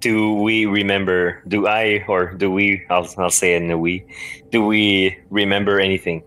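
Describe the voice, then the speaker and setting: Very low-voiced man, casual and questioning. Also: little pitch movement, moderate pace, rough recording. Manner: questioning, thinks out loud. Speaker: podcast guest and player. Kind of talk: casual chat while playing a story game.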